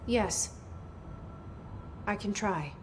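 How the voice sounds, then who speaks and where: A terse, direct young director. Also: female voice, mid-range voice, drawn-out words, a little hiss or hum. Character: determined young woman agency director. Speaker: game protagonist. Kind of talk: video game cutscene dialogue.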